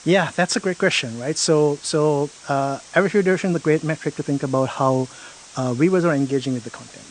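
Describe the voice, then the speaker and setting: A man's voice: fairly high pitch, quick pace, a little hiss or hum, low-quality recording. A man in an interview explaining a product feature step by step, often checking in with "right?".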